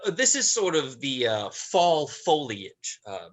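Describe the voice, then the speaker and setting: Guy acting a nervous young dude, stammers slowly, pitch jumps around, stage play reading. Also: sing-song. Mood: awkward, shy. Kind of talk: livestream dramatized script reading.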